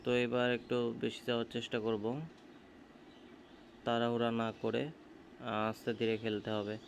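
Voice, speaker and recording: A man's voice: mid-range voice, level tone, moderate pace, gravelly. A man giving casual, hesitant, unscripted commentary while playing a game. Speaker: gamer. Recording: faint background noise.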